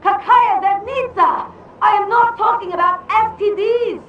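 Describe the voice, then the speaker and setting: An actress playing a passionate, frantic Soviet spy in a video game, with a Russian accent. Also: female voice, very high-pitched, a little slow, slight background noise. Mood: exasperated. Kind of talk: video game cutscene acting. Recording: echoey, distant, muffled game audio.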